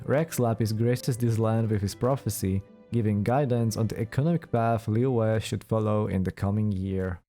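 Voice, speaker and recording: A man's voice: fairly low pitch, dark tone. A man doing casual let's play commentary as host of a game playthrough. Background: game music.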